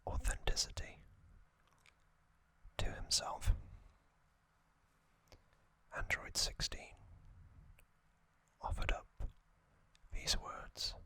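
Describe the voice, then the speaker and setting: A man narrating in a breathy ASMR whisper, delivered in short, deliberate phrases as a storytelling host. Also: bright, forceful tone.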